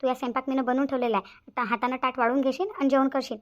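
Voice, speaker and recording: Woman voicing a shrill-pitched, nagging village mother. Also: fairly even intonation, rapid-fire, lo-fi sound, lightly acted. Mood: fussing. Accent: rural Maharashtrian Marathi. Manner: nagging, repetitive. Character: fussy, nagging middle-aged village mother. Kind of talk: cartoon comedy dialogue.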